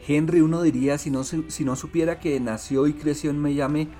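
A man's voice: on the high side, fairly even intonation, brisk, warm tone, soft background music. A man with a Colombian accent interviewing a guest on radio, asking long questions and correcting himself mid-sentence. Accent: Colombian Spanish.

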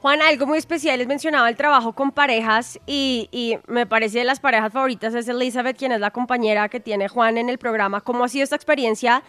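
Bright enthusiastic woman host. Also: on the high side, steady tone, quick pace, few pauses, smooth, no room sound. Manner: enthusiastic, effusive. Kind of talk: radio interview.

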